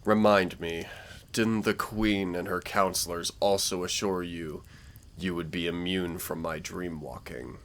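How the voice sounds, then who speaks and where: A man performs a villainous warlord with a very low, unhurried voice and a creaky edge. He is menacing yet persuasive, with theatrical, condescending delivery suited to a fantasy roleplay drama. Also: fairly even intonation, fairly bright tone. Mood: sardonic, threatening. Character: menacing, smooth-talking male dark warlord villain invading dreams. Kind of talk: scripted roleplay audio drama, villain monologue.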